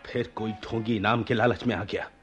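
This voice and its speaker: An actor playing a lovesick young prince in a radio play, imperious yet earnest, with courtly theatrical diction. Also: male voice, lowish voice, fairly even intonation, moderate pace, over loud music, lightly acted. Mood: imperious, agitated. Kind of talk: Hindi-Urdu radio play acting.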